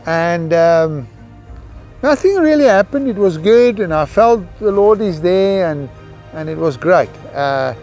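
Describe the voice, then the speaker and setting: A middle-aged man shares a personal testimony in a South African Afrikaans accent. His voice sits a little higher than most men's. He speaks reflectively, pausing often as he searches for words, while soft music plays behind him. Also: expressive. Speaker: missionary giving a testimony. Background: background music.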